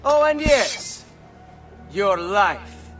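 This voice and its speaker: An actor playing a smug young noble villain in a video game, taunting and mock-polite. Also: male voice, sits high, very animated, very slow, distant mic, over music, full character voice. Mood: mocking, menacing.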